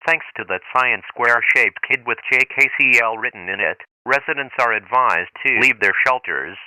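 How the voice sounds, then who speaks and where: A man voicing a grave, formal emergency broadcast announcer in a measured official style. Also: mid-range voice, pushed and bright, no room sound. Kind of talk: scripted emergency alert announcement. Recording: very noisy radio-like broadcast.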